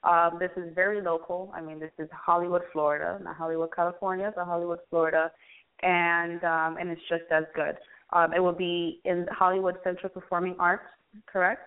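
A woman's voice: on the low side, flat delivery, fairly quick. A woman hosting an interview in a casual, rambling way, often searching for her words. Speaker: interviewer, radio-style show host. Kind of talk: casual chat. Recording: thin phone-like band-limited sound.

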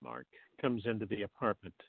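Gravelly man narrating, muffled sound. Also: on the low side, big pitch swings, brisk, dry recording. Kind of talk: scripted radio play narration. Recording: muffled, phone-like narrow-band audio.

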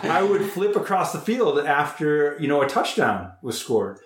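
A man's voice: fairly high pitch, sing-song, moderate pace, noisy background. Chatty American man, echoey room. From Chicago, United States. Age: 40s. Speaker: podcast host and athlete. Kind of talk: casual podcast chat. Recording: roomy, echoing podcast recording.

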